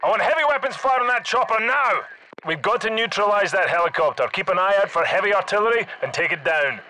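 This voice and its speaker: Casting: commanding Scottish special forces soldier for a shooter game, forceful clipped delivery, faint noise behind. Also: male voice, sits high, lively intonation, fast talker, rough recording. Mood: urgent, commanding. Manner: clipped, urgent orders. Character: commanding male special forces soldier, terse, battle-hardened squad leader. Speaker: squad leader in a military shooter game. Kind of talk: video game battle chatter, military radio commands.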